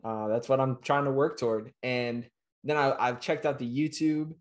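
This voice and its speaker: A man's voice: medium pitch, steady tone. A young man in his twenties hosting a podcast over a video call from a small room at home, upbeat and friendly. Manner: upbeat, friendly, quick affirmations. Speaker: podcast host. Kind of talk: casual video-call interview. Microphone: webcam or laptop mic on a video call.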